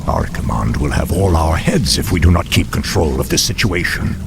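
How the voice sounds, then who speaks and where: Stern, impatient game demon, gravelly with sweeping intonation, over noisy ambience. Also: male voice, very deep voice, lively intonation, normal speed, exaggerated voice. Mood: stern, threatening. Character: stern, impatient demon overseer demanding reports.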